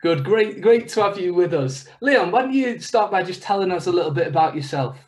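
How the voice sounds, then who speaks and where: Male host of a church leadership video, higher-pitched, encouraging and prompting, on a video call. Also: expressive, a little fast. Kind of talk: interview hosting.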